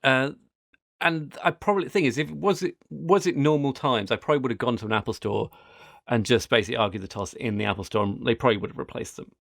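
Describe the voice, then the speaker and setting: A man's voice: mid-range voice, fast talker, vocal fry, a bit bright, dry recording, clean studio sound. A middle-aged British man hosting a podcast, chatting casually in a rambling, anecdotal way. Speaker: podcast host. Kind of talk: casual tech podcast chat.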